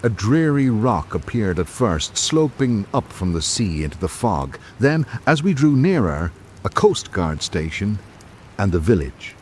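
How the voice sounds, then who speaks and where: A man hosting and narrating in a scripted old-time radio drama style, with dramatic, measured delivery. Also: on the low side, very animated, slight background noise.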